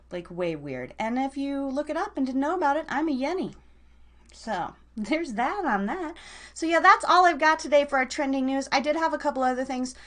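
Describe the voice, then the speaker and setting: Casting: female live radio and podcast host, higher-pitched voice, chatty, slangy, loose and rambling delivery. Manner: informal, slangy, rambling, addresses listeners directly. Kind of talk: casual, chatty live show talk.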